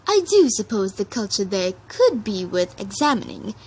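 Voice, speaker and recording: A woman's voice: mid-range voice, sing-song, normal speed, darkish tone, breathy edge, poor audio. A woman voicing a refined, curious, fashion-loving female pony with a genteel manner. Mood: keen.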